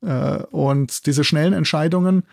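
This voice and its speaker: A man's voice: a little high, a little slow, vocal fry, darkish tone, dry recording, studio quality. A middle-aged man explaining things enthusiastically as an expert interview guest, often checking in at the ends of phrases. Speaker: guest expert, zoology institute head and sound producer.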